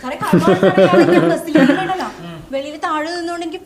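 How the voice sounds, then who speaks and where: An aggrieved young woman pours out complaints in a naturalistic film comedy. She is indignant, very high and extremely fast, with a loud crowd around her. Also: sing-song. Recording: busy crowded room, film audio.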